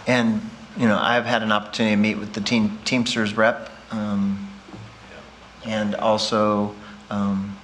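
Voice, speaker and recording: A man, a school superintendent, answering a public question in a halting way with many fillers. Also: fairly low pitch, flat delivery, a bit bright, a little hiss or hum.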